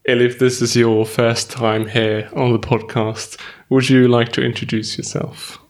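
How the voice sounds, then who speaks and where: A man hosting a podcast, asking the guest questions in a measured, slightly halting way. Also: mid-range voice, flat delivery. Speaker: podcast host.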